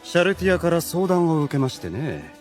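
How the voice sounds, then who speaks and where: A man playing a suave, calculating demon retainer in an anime audio drama, speaking with formal courtesy and measured persuasion. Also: a little high, sing-song, darkish tone, faint music behind. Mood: smooth, reasoning.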